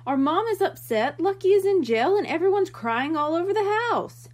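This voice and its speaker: A woman's voice: extremely high pitch, lively intonation, normal speed, a little mellow, pitched above the performer's own voice. A woman voicing an earnest, worried young boy from a storybook, with an emphatic, pleading manner. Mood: upset.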